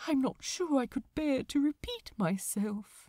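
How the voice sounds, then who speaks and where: A woman's voice: high-pitched, expressive, measured pace, mellow, no echo, studio quality. A woman voicing an anxious, formal British male angel in an audiobook, earnest and lovestruck, speaking precisely and hesitantly. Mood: nervous, formal. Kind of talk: audiobook character dialogue.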